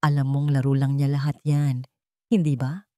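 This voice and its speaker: A woman's voice: very deep voice, flat delivery, moderate pace, dark tone, no room sound, clean studio sound. A woman voicing a worried, jealous man who speaks firmly and insistently in a romance story. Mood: firm warning. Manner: firm, insistent.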